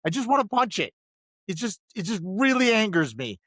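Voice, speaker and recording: Guy cohosting a film podcast, kinda high voice, really emphatic, repeats himself, dry recording. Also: sing-song, moderate pace, fairly bright tone, no echo. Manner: emphatic, repeats phrases for stress. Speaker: podcast co-host. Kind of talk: casual film discussion.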